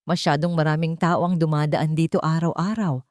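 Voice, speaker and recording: Woman playing a soft-spoken motherly older woman. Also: low voice, steady tone, normal speed, no echo, studio quality, lightly acted. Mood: calm, evasive. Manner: hushed, urgent when worried. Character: kindly older eatery woman, motherly, guarded, protective.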